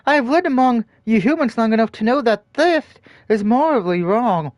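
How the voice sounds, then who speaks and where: A man's voice: very high voice, expressive, normal speed, dry recording, clean studio sound. A man voicing a prim, formal female succubus queen from a visual novel, with stiff, old-fashioned phrasing. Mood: haughty.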